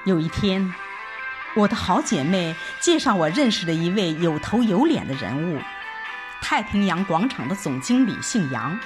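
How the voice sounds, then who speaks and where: A woman reading a scripted first-person audio drama narration in an expressive, reflective storytelling manner. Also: lowish voice, normal speed. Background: background music.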